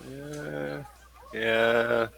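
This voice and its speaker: Bright, gravelly-voiced man running a game. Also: medium pitch, steady tone, very slow. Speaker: game master. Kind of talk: casual tabletop game talk.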